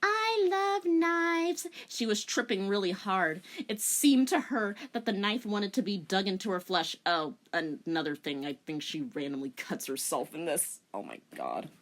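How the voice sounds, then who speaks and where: A woman's voice: fairly low pitch, expressive, moderate pace, hardly any pauses. A woman hosting a comedic dramatic reading as a voice-over, narrating theatrically and breaking into informal, incredulous commentary. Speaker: host, story reader. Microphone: recorded voice-over. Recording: music under the voice.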